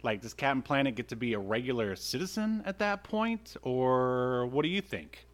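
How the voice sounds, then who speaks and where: A man's voice: mid-range voice, expressive, moderate pace, a crowd in the background. A man co-hosting a podcast, chatting casually in a joking, teasing way as he recaps things. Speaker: podcast co-host.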